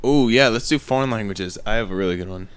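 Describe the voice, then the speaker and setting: A man's voice: on the low side, quick pace, a little hiss or hum. A man chatting casually in a group as a panelist, with a joking, playful manner. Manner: jokey, playful.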